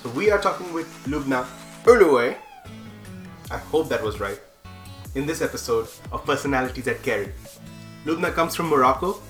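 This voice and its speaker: A woman's voice: rumbling low, expressive, normal speed, long pauses, roomy sound, soft background music. A woman hosting a student podcast, introducing her guest and asking friendly questions, laughing along. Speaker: podcast host. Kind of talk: casual interview.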